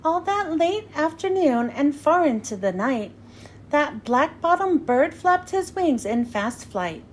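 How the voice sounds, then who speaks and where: A woman reading a children's story aloud in an expressive, singsong rhyming delivery. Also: sits high, takes it slowly, a little hiss or hum. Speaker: children's book reader. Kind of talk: animated read-aloud storytelling.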